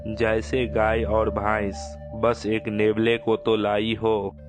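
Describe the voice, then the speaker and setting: Slow, flat-toned weary farmer husband, acted by a man. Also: on the low side, music in the background. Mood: grumbling. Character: poor male village farmer, reluctant, weary husband.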